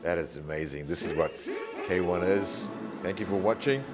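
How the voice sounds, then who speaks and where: A man's voice: low-pitched, normal speed, loud music behind the voice. A man doing casual live sports commentary in a jokey, conversational way. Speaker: fight commentator.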